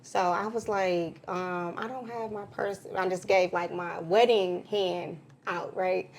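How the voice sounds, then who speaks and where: A woman's voice: on the low side, a little slow. A woman co-hosting a podcast, telling a casual story and acting out other people's words. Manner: animated storyteller, acts out quotes. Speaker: podcast co-host.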